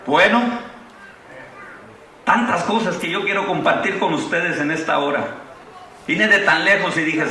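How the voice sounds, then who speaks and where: A middle-aged Mexican man with a Mexican Spanish accent, preaching a sermon at a convention, moving from fervent proclamation to easygoing anecdotes. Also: high voice. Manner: impassioned preaching with rising exclamations, then relaxed storytelling. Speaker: pastor. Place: large convention hall. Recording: echoey hall from far off.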